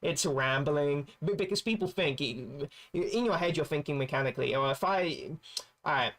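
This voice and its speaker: A man's voice: on the high side, normal speed, a bit bright. Rambling man thinking aloud. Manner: rambling, restarts often, searches for words. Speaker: streamer. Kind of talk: casual chat while gaming.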